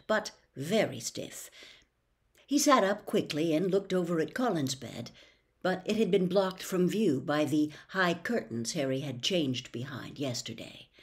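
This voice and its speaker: An older woman narrates a story with theatrical expression. Her voice sits fairly low and moves widely up and down in pitch as she reads. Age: older, 60s. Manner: theatrical, acts out dialogue. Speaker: storyteller reading a novel aloud. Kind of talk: expressive audiobook-style narration. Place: small bedroom at home.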